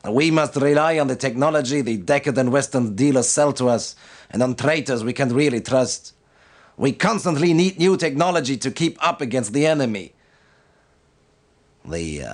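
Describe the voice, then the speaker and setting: An actor playing a stern, devout military commander who gives solemn, formal and deliberate briefings in a video game. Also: male voice, medium pitch, lively intonation, lightly acted. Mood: grave, measured.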